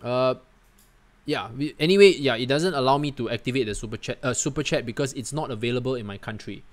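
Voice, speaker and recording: A man from Singapore, hosting a casual livestream and answering viewer comments in a chatty, animated way. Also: mid-range voice, fast talker. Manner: chatty, animated, peppers talk with "man", "guys" and "right?". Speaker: livestream host.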